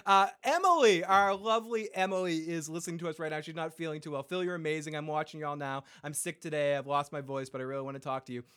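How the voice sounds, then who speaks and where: Male podcast co-host with a slightly higher voice. Casual and profane, often laughing. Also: fast, hardly any pauses, fairly bright tone, clean tone. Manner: reads listener messages aloud. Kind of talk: casual fan podcast chat.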